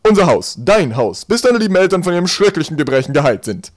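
A man voicing an eccentric elderly great-uncle for a storybook, loud, brusque and commanding. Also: sits high, very animated, fast, pitched above the performer's own voice. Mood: bossy.

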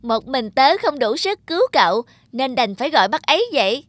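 Loyal young cartoon mug, earnest and careful child, high register over music. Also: female voice, very animated, brisk, a bit bright, no room sound, clean studio sound, exaggerated voice. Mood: reassuring.

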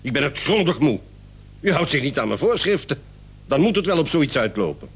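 Radio drama doctor: raspy, slightly high, irritable, self-righteous scold. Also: male voice, sing-song, fairly quick, heavily acted. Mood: exasperated. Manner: pompous, scolding. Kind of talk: radio play acting.

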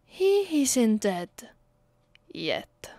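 Slow, menacing Italian-accented vampire mistress played by a woman. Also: a little high, big pitch swings, warm tone, dry recording, exaggerated voice. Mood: bored menace. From Italy. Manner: slow, deliberate, menacing, condescending. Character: cruel, bored, centuries-old female vampire mistress. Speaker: ASMR roleplay voice actress. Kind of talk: ASMR roleplay acting.

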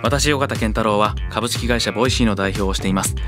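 A man's voice: on the low side, fairly quick, over music. A man with a Kansai accent hosts a radio show in casual, colloquial, enthusiastic conversation. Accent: Kansai Japanese.

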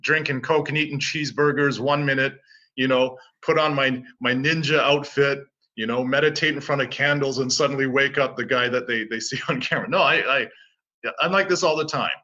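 A man's voice: medium pitch, steady tone, brisk, pushed and bright, muffled recording. A Canadian-born man in his forties, a martial arts teacher, talking casually and self-assuredly as an interview guest. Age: 40s.

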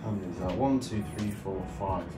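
Casting: male hobby vlogger, informal and unscripted, lowish voice, recorded well away from the mic in an echoey room. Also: a little fast. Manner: informal, thinking aloud. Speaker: YouTube host showing a hobby build. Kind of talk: casual unscripted show-and-tell vlog. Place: indoor room beside the build. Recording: echoey room, distant mic, music behind.

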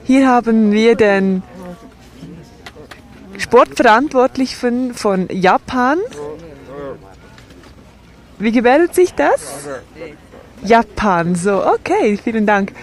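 A woman voicing a sign language sports report and interviews in a measured, steady way. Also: on the high side.

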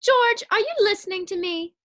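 A woman's voice: very high-pitched, moderate pace, fairly bright tone. A woman playing a perky, exasperated housewife with emphatic comebacks in an old-time radio sitcom.

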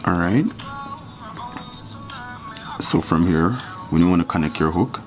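Low-voiced man, relaxed how-to host, talks over music. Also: a little slow. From Jamaica. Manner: relaxed, step-by-step instruction with counting. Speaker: fishing channel host. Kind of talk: casual hands-on tutorial.

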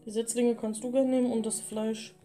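Woman, twenties, gaming co-host, casual explanatory chat, clear guitar music underneath. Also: on the high side, level tone, normal speed, warm tone. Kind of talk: casual let's play chat, explaining the game.

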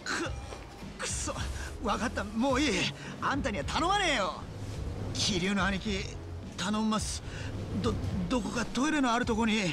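An actor playing a young, hot-headed yakuza underling in a video game, talking in rough junior slang. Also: male voice, very high voice, expressive, normal speed, over music. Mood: desperate, angry, then urgent. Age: young adult. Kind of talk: acted video game cutscene dialogue.

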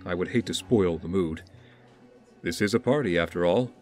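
An actor playing a controlled young rich man in an audio drama, formal and measured. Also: low voice, darkish tone, soft background music, natural voice. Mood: polished, courteous.

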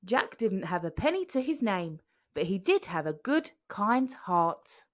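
A woman reading a children's storybook aloud with expressive narration. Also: mid-range voice, unhurried.

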